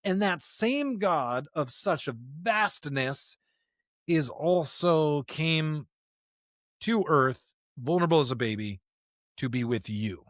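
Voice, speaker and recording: Man co-hosting, higher-pitched and emphatic. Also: expressive, slow, fairly bright tone, dry recording, clean studio sound. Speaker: podcast co-host. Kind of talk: unscripted theological discussion.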